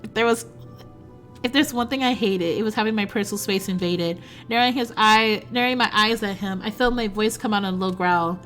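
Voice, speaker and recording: A woman streamer reading a story game aloud and reacting to it in an excitable, flustered way, often giggling. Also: mid-range voice, brisk, faint music behind.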